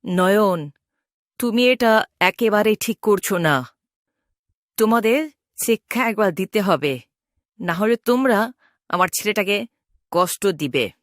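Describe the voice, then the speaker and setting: A woman's voice: mid-range voice, animated, takes it slowly, long pauses, dry recording, studio quality. A woman voicing a protective, stern mother ghost in a cartoon, speaking in a firm, commanding manner. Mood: stern, threatening. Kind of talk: Bengali cartoon voice acting.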